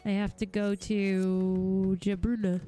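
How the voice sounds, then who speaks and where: A woman's voice: medium pitch, flat delivery, slow, dark tone, soft background music. A woman livestreaming a game, reacting casually in a playful, goofy, exclamatory way.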